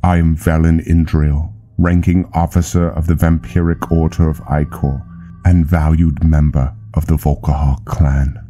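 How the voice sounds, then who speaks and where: A man acts out a cold, honor-bound vampire officer from a fantasy game. The voice is extremely low and dark, and the delivery is slow, formal and solemn, like a judge passing sentence. Music plays behind it. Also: level tone. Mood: stern, commanding. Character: stern, honor-bound male vampire knight officer, coldly judging. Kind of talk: scripted roleplay narration and game dialogue.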